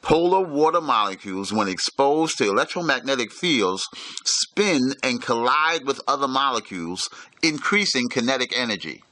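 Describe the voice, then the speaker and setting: Guy reading a scripted lecture, formal and measured, bright forward voice, really dry sound. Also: mid-range voice, animated, no echo. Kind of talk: scripted instructional narration.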